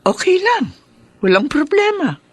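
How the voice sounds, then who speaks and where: Concerned aunt with lively intonation. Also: female voice, extremely high pitch, a little slow, no echo, exaggerated voice. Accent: Filipino. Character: concerned, supportive middle-aged aunt. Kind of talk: Filipino radio drama acting.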